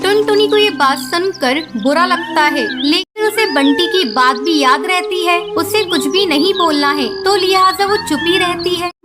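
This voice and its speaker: A woman with a very high voice narrates a children's cartoon tale. Her delivery is scripted storytelling, and loud music runs behind her. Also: measured pace, few pauses.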